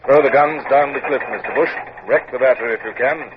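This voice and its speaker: A muffled, faintly noisy vintage radio drama recording carries a stern British naval captain, played by a male actor. He speaks in a clipped, formal, commanding manner at an ordinary male pitch. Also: fairly quick, close to an everyday voice. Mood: crisp, composed. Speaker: lead actor and first-person narrator. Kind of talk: radio drama acting with narration.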